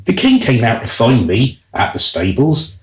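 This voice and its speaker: A man's voice: medium pitch, wildly swooping pitch, moderate pace. A man playing a wry royal groom who narrates a comic radio play in a theatrical style, with an echoing sound. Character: wry male royal groom telling the tale.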